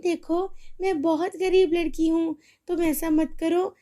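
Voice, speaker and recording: Casting: woman as poor young fruit-seller girl, cartoon story, extremely high voice, plaintive and pleading. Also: little pitch movement, a little slow, warm tone. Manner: plaintive, protesting. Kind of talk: cartoon fairy-tale dubbing.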